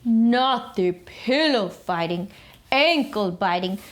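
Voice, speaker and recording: A hulking male dinosaur villain growls out his words slowly and heavily, as a woman reads him aloud. His voice sits lower than the storyteller's own and rolls with lively, theatrical swoops. The blustering menace suits a picture-book tale. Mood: menacing. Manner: slow, booming, menacing delivery.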